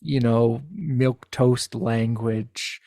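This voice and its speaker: A man speaking as a podcast guest in casual discussion, halting and repeating words, with a blunt, wry manner. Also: medium pitch, steady tone, mellow, no room sound, clean studio sound.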